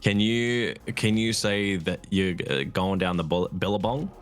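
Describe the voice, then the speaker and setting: A man's voice: low-pitched, measured pace, smooth, faint music behind. A man chatting casually with a friend while they play a game, in a dry, teasing manner. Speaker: streaming co-player. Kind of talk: casual chat while gaming.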